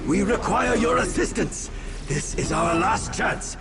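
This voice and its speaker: Desperate game commander, high urgent voice, wide swings, loud music behind. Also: male voice, lively intonation, unhurried, creaky, exaggerated voice. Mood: urgent, grim. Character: desperate male battlefield commander under heavy attack. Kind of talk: dramatic video game acting.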